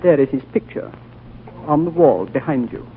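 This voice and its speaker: Ancient wise lama, played by a male actor: higher-set voice, solemn and deliberate, 1940s radio drama on a tinny recording. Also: expressive, a little hiss or hum, lightly acted. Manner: measured, solemn, deliberate storytelling.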